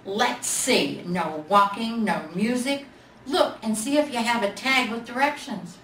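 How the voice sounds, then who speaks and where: A big, gruff toy bear from a children's storybook, acted out by a woman, speaks in blunt, impatient grumbles. The sound is roomy and distant, with some background noise. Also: fairly high pitch, a little slow, a bit bright. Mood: impatient, demanding. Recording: roomy, noisy, distant library mic.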